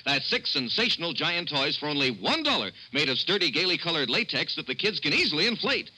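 High, very bright male radio pitchman. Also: sing-song, fairly quick, husky, poor audio. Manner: emphatic, eager selling. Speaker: advertisement announcer. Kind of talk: 1950s radio mail-order sales pitch. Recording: bright 1950s radio broadcast sound.

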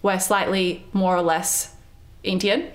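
A young woman talks in an easy, chatty way, quick to agree and to laugh. Her voice sits at an ordinary middle pitch for a woman. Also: little pitch movement. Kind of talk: casual conversation.

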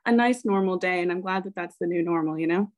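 A woman's voice: lowish voice, quick pace, no room sound. Candid American woman, sobriety coach. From United States. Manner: relaxed, candid, laughs easily. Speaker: podcast guest, sobriety coach. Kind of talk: interview conversation.

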